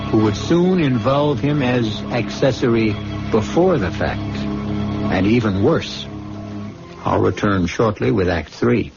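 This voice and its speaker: A man hosting and narrating a radio drama from a script in a grave, theatrical manner. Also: mid-range voice. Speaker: host and narrator. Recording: muffled lo-fi radio recording, music behind.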